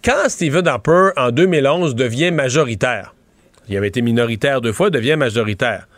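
A man's voice: medium pitch, brisk, no echo. A middle-aged man with a Québécois French accent, giving rambling political analysis in a casual chat and often restarting his sentences. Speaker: political commentator.

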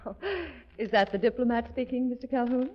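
Actress as genteel lady, slightly high voice. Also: fairly even intonation, fairly quick, close to an everyday voice. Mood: charmed. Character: genteel, sociable single lady, politely flirtatious. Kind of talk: 1940s radio comedy acting. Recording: dull vintage radio recording.

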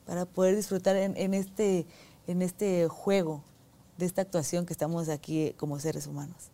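A woman speaks slowly and reflectively as a guest on a talk. Her voice sits low and mellow, and she often pauses to find her words. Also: little pitch movement. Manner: soft, unhurried, searching for words.

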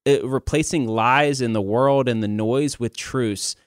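A man's voice: medium pitch, unhurried, no echo, clean studio sound. A man in his thirties hosting a casual podcast, talking in a rambling, candid, self-deprecating way. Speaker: podcast host. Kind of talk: casual conversational podcast talk.

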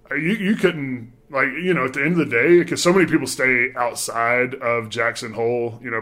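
A man's voice: medium pitch, sharp tone. A young man with a mild Southern accent, chatting loosely on a podcast with many fillers and restarts. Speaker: departing sports reporter. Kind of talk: casual podcast chat.

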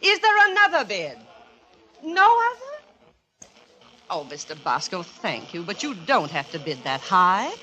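An actress playing a brisk, gracious American auctioneer in 1940s radio style, on a noisy vintage recording. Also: female voice, mid-range voice, huge pitch swings, sharp tone, heavily acted. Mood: gracious, gentle. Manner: auctioneer's crisp, drawn-out calls.

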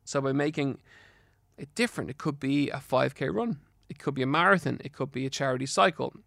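Irish man, gravelly, slight lisp. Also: medium pitch, brisk, a bit bright, no echo, studio quality. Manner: earnest, instructive, encouraging. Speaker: health podcast host. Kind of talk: solo podcast talk giving health advice. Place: studio.

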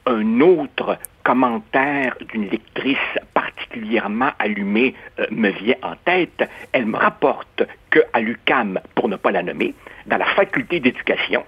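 Brief: Uruguayan-born male columnist, animated and a bit husky, muffled lo-fi radio quality. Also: medium pitch. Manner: measured, expressive, stammering restarts. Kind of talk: opinionated radio debate. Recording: dull lo-fi radio sound.

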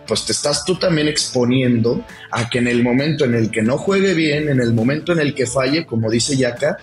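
A Mexican man on a sports podcast, telling football stories in a lively way and acting out quotes. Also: medium pitch. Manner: lively storytelling, acts out quotes. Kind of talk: casual football talk. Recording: roomy echo.

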